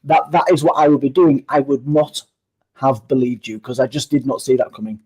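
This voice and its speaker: A man's voice: medium pitch, a little fast, darkish tone, breathy edge. A man in his fifties with a Yorkshire accent, a down-to-earth guest speaker answering interview questions reflectively. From Yorkshire, England. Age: 50s.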